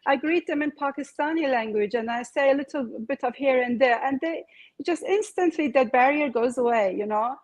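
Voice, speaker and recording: A woman's voice: sits high, fairly quick, rough recording. A woman, a real estate agent speaking as an interview guest, earnest with hesitant fillers. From Kurdish-Persian background. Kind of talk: casual interview chat.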